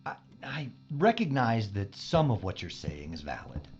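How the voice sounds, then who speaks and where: A man acting a stiff, evasive spaceship scientist in a science fiction audio drama, formal, pompous and defensive, with a husky voice. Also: on the low side, sing-song, moderate pace, dull audio, faint music behind. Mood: condescending. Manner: pompous, formal, defensive, stammering when pressed.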